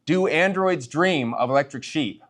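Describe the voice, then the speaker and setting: A man's voice: a little high, unhurried, a bit bright. A man speaking as a startup founder at a tech meetup, conversational, addressing the audience directly and posing rhetorical questions.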